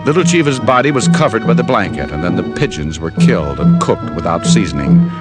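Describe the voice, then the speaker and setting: Loud musical backing sits under a low-voiced hardboiled private eye. He narrates his case in old radio mystery style, wry and dry, tossing off wisecracks under pressure. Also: very animated, a little fast, over loud music. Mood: awed narration. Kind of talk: 1950s radio drama narration.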